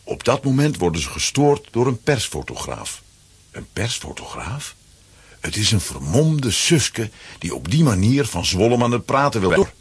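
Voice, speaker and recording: Very raspy, animated male narrator. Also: on the low side, a little slow. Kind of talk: scripted children's story narration.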